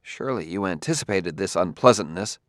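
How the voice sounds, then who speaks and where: A man's voice: low voice, lively intonation, brisk, no room sound, studio quality. A man voicing a persistent male detective who presses his questions firmly.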